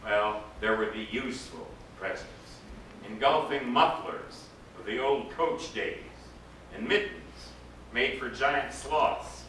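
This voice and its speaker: A man, an actor, giving an expressive, dramatic reading of a literary story aloud. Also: medium pitch, takes it slowly. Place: indoor live reading to a gathering. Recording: echoey room, distant mic, background noise.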